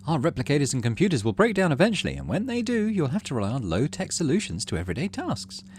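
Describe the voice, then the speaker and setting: A man voicing a brisk female schoolteacher in a game story, addressing a class in an instructive manner. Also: a little high, huge pitch swings, darkish tone, a little hiss or hum, pitched above the performer's own voice. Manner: clear, instructive classroom delivery. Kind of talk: character reading in game story.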